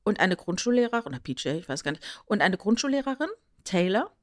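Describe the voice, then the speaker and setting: Eine Frau mit deutschem Akzent plaudert als Podcast-Co-Moderatorin, erklärt erzählend, spielt Zitate nach und sucht mit kleinen Nachfragen Zustimmung. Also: female voice, mid-range voice, very animated, fast, no echo, studio quality. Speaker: podcast co-host. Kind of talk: casual podcast chat, explanatory retelling.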